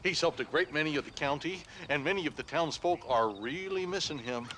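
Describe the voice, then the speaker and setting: An actor playing an eager, simple-minded frontier deputy in a Western video game, with an American Southern accent and a folksy, deferential manner. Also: male voice, on the high side, fairly quick, sharp tone, slight background noise. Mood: earnest.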